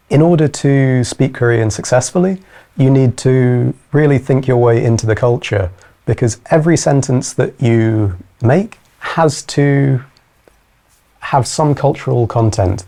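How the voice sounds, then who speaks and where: A man's voice: medium pitch, dark tone. A man on a panel answering a school question, explaining his point thoughtfully with an example. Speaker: language expert panelist.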